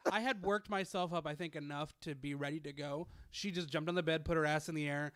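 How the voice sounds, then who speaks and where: A man's voice: on the high side, steady tone, fairly quick, fairly bright tone, no room sound. A young man hosting a podcast, telling a crude story casually with restarts. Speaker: podcast co-host. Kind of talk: casual chat.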